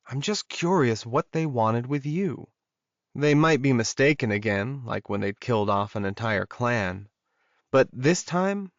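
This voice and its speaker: A man's voice: medium pitch, fairly bright tone, no echo, dull audio, natural voice. A man voicing a protective, earnest alpha werewolf in an audiobook romance.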